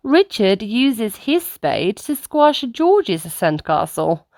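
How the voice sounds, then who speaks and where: A woman reading a children's story aloud in an animated manner aimed at young children. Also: a little high, slow, a little hiss or hum.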